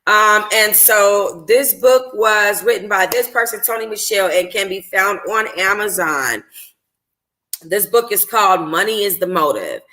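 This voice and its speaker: A woman hosting a book club, reading and chatting informally, sounding tired and slightly hoarse. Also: mid-range voice, unhurried, bright, forceful tone, low-quality recording. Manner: informal, conversational. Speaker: book club host reading a novel aloud. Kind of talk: expressive audiobook-style reading and casual livestream chat.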